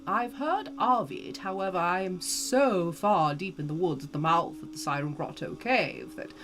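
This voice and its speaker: A woman's voice: fairly low pitch, normal speed, fairly bright tone, slight background noise, close to an everyday voice. A woman playing a genteel, ageless Southern lady more than a century old, chatting theatrically and effusively in an old-fashioned American Southern accent. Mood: delighted, chatty. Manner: posh, theatrical, drawling, effusive. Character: genteel ageless Southern woman over 150, playfully flirtatious. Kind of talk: in-character live-stream chat and storytelling.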